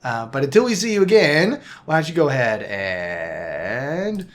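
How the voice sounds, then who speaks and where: A man's voice: mid-range voice, sing-song, unhurried, very noisy. A man hosting a casual soccer podcast, rambling with frequent restarts and self-corrections. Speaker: podcast host. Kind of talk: casual sports podcast chat.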